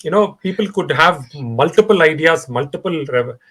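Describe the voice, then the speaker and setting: A man with an Indian English accent, speaking as a podcast guest in a conversational interview, animated and full of fillers and restarts. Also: on the high side, moderate pace, slightly rough.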